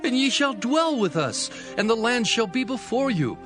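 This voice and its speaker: A man's voice: very high-pitched, sing-song, normal speed. An actor playing an older male chieftain pleading persuasively in a dramatized scripture reading. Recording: music bed behind voice.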